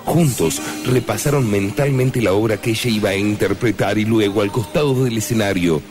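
A man's voice: fairly low pitch, moderate pace, a little mellow, lightly acted. A man playing the solemn, mock-serious storyteller of a romantic radio serial, reading scripted narration. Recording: music behind the narration.

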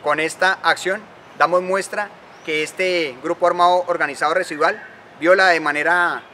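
A man delivers an official operational report in a formal, deliberate way. His tone is bright and sharp, with a slightly high pitch. Faint background noise sits behind him. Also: steady tone. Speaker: army spokesman.

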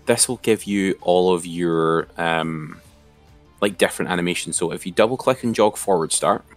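A man's voice: sits low, normal speed, faint music behind. A man giving an informal, step-by-step screen-share tutorial as an instructor.

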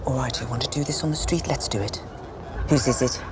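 An actress playing a blunt, sharp-tongued middle-aged matriarch. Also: female voice, brisk, noisy background. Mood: urgent. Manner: blunt, commanding, low and firm. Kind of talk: period TV drama.

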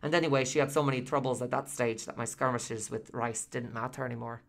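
An actor playing a talkative, excitable, aggrieved husband, telling his story in a chatty, emphatic radio play monologue. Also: medium pitch, quick pace, gravelly. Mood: sheepish. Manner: chatty, digressive, emphatic, repeating words.

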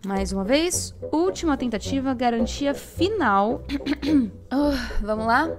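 A woman's voice: sits high, lively intonation, slow. A Brazilian woman talking casually to herself between recording takes, informal and self-critical, swearing freely. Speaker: voice actress recording lines. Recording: music behind the voice. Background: music.

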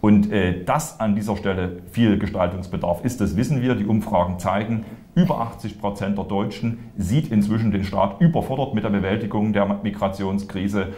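A man giving measured, explanatory political answers as a party spokesman. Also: lowish voice, mellow. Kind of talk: interview answers, political commentary. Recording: roomy echo.

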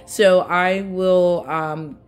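A woman vlogger talking casually through her grocery haul in a home kitchen, relaxed and chatty with frequent fillers. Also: lowish voice, extremely slow, clear, resonant tone, soft background music.

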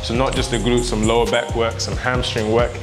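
A man's voice: mid-range voice, level tone, fairly quick. A young man hosting a workout vlog in a home gym, joking and coaching through the exercises on a lapel mic. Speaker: fitness vlog host. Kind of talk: casual workout vlog chat. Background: music.

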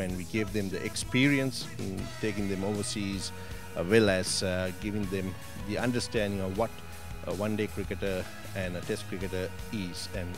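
A middle-aged man, answering as a program co-founder in an outdoor interview. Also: low voice. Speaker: youth cricket program co-founder. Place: outdoors at a sports field. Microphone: handheld reporter microphone. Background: music.

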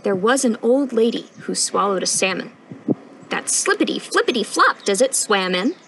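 Young female storytime reader, lively pitch swings, sing-song rhythm, outdoor recording with audible ambient noise. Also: normal speed, low-quality recording. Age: young adult. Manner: expressive, sing-song rhyming delivery with emphatic punchlines. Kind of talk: read-aloud children's picture book storytime. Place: outdoors on a wooden porch.

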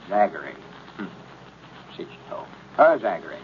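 Lum, know-it-all country old-timer. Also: male voice, low voice, big pitch swings, normal speed. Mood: exasperated. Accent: rural Southern US. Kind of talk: 1930s radio comedy acting. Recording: dull, noisy old radio recording.

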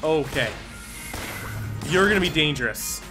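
A man giving let's-play commentary, thinking aloud and bursting into excited exclamations. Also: a little high, measured pace. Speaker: gaming commentator. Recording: game music under the voice. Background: game music.

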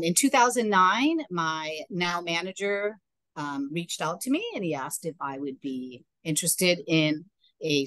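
Low, dry-sounding woman from Trinidad. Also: fairly bright tone, no echo, rough recording. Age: middle-aged. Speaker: yacht sales representative, webinar guest. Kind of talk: conversational personal account in a webinar. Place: indoors, on a video call.

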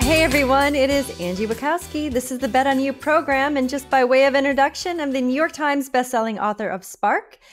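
A woman in her forties hosting a podcast interview from a home office over a video call, upbeat and enthusiastic with lively emphasis. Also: high-pitched, a little fast, few pauses, music in the background. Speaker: podcast host. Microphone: video call with a desk microphone.

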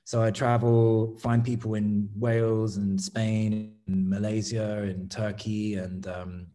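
An English man in his thirties, a guest artist, talking casually over a video call from his home studio, thoughtful, with many pauses and restarts. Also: on the low side, flat delivery, dark tone. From England. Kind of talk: casual interview chat. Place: home studio room, indoors. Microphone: laptop webcam video call.

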